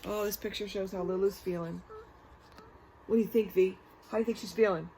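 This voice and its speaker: A woman's voice: mid-range voice, fairly even intonation, brisk, noisy background. A woman reading a children's story aloud dramatically, with chatty asides and questions to her listeners.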